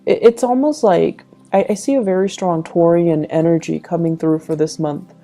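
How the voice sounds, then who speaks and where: Dark, mellow-voiced woman giving a tarot reading. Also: fairly low pitch, normal speed, faint music behind. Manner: reflective, advising, addresses listener directly. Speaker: tarot reader and channel host. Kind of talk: intuitive tarot reading addressed to the listener.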